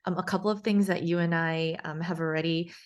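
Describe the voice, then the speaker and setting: A woman from New York City, born in South Korea, answering interview questions in a measured, explanatory way with frequent pauses. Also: lowish voice, steady tone. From born in South Korea, grew up in New York City. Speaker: guest, facial plastic surgeon.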